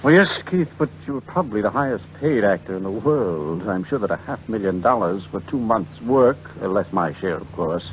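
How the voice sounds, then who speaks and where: Lowish, animated male scoundrel played by an actor, sardonic conspirator; muffled 1940s radio melodrama. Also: fairly quick, few pauses, slight background noise, lightly acted. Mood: sardonic, calculating. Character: smooth-talking, sardonic male accomplice, a scheming scoundrel. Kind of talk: 1940s radio drama acting.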